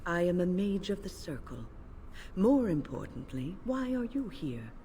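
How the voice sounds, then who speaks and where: An actress playing a grave, protective older female mage, speaking in a formal, measured way in a video game. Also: lowish voice, big pitch swings, dark tone, a little hiss or hum.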